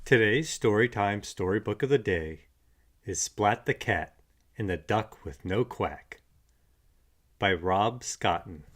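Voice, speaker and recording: A man reading a children's storybook aloud with animated, expressive delivery. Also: on the low side. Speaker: storybook narrator. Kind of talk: children's read-aloud narration.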